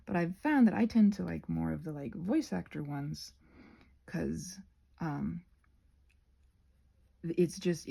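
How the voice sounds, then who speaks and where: A woman rambling casually as she crafts for her ASMR channel, halting and self-deprecating, with a husky voice that often drops toward a whisper. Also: lowish voice, warm tone. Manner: halting, meandering, self-deprecating, searching for words.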